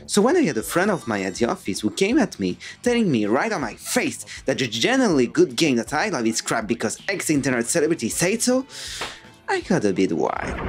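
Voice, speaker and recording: French-accented man with lively pitch. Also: husky. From France. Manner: sarcastic, animated delivery. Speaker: YouTube reviewer. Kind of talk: scripted video essay. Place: home room with bookshelves.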